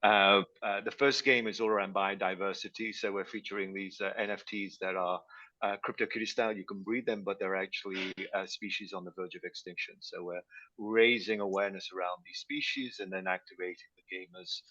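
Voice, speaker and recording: Deep-voiced man rambling on a call. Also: little pitch movement, a little fast, bright, forceful tone, no room sound, muffled recording. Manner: rambling, many "uh" fillers. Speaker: founder introducing his project. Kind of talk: casual video call introduction. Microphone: video call.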